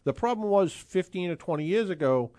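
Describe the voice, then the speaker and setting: A man's voice: sits high, expressive, brisk, dark tone, no echo. Chatty man in his sixties, dry sound. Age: 60s. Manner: chatty, rambling with frequent restarts. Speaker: radio car show host. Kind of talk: casual radio talk.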